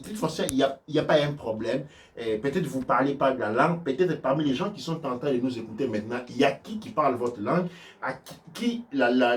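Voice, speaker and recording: A man giving religious teaching on offerings and prayers, speaking haltingly with many restarts and sudden shifts between loud and soft. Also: medium pitch, distant mic. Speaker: spiritual teacher. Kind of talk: religious teaching in a live stream.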